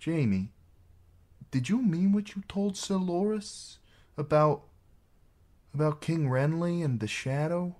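A man's voice: on the high side, slow pace, long pauses, a little mellow, pitched above the performer's own voice. A man performs an awkward young warrior maid from a fantasy novel. The voice is lifted well above his own, earnest and shy, with a hesitant delivery.

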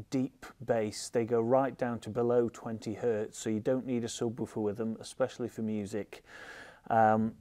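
A man's voice: mid-range voice, a little mellow. A British man in his thirties presenting a product overview with an enthusiastic manner. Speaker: audio shop presenter. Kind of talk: product review narration to camera.

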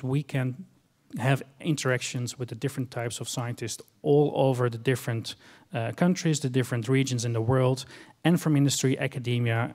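A middle-aged man with a strong Dutch accent, giving a conference talk from a stage into a handheld microphone, explaining in a measured way with frequent fillers. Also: mid-range voice, a little mellow. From Netherlands. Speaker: conference speaker. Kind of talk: conference presentation. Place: large conference hall stage.

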